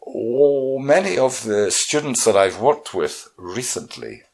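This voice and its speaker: A man's voice: mid-range voice, sing-song, takes it slowly, darkish tone. A middle-aged man from Scotland who teaches languages, co-hosting a podcast, talking casually and hesitantly. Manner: hesitant, repeats words when starting. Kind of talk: casual conversational chat.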